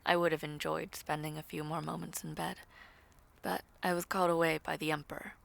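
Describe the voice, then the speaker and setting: A woman voicing a devoted noble lord in an audio roleplay, measured, formal and tender, as if reading a love letter. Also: low voice, level tone, vocal fry, pitched below the performer's own voice. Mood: tender, composed. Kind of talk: scripted audio roleplay acting.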